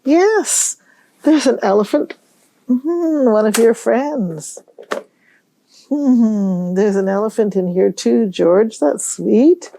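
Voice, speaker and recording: A woman hosting a storytime for young children, reading aloud in a gentle, musing way and pausing to pose questions. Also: a little high, vocal fry. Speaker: children's library storytime host.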